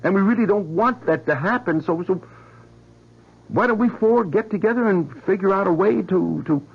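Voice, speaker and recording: An actor playing an anguished, idealistic weapons scientist in a 1970s radio drama, earnest and emphatic, with a husky voice. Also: male voice, high-pitched, normal speed, full character voice. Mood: earnest appeal. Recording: dull vintage radio recording, faint music.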